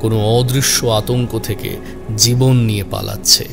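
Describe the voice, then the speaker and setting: A man narrating a scripted horror story in a suspenseful, measured way, drawing out key words. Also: mid-range voice, steady tone, creaky, music in the background.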